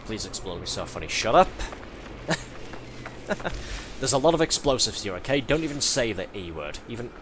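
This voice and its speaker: A man's voice: lowish voice, sing-song, normal speed, pauses often, noisy background. A man with a head cold, streaming a game live, chatting and joking and reading on-screen text aloud as he plays. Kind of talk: casual live gameplay commentary. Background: loud game music.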